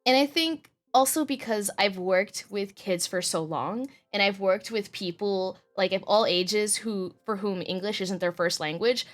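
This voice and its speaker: A woman's voice: mid-range voice, unhurried, hardly any pauses. A young woman, a streamer, chatting casually with lots of fillers and easy laughter. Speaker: streamer and podcast host. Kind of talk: casual chat.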